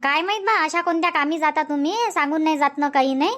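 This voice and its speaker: A high, bright-voiced village housewife, voiced by a woman in a cartoon comedy. She scolds and grumbles in fast village banter. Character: nagging village housewife Shanta, chatty and sharp-tongued.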